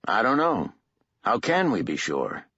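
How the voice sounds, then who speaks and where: A man's voice: lowish voice, wildly swooping pitch, unhurried, pushed and bright, slightly rough, no echo, full character voice. A man voicing a smarmy male government agent who stays syrupy-polite and calls everyone sir. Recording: dull, lo-fi audiobook recording.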